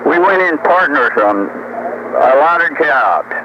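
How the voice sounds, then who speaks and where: Older male, slightly high voice, folksy boastful rambler, heard through a very noisy, muffled CB radio. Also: big pitch swings, a little slow. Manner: boastful, folksy, repeats rhetorical questions. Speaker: storyteller on CB radio. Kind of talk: rambling casual CB radio chat.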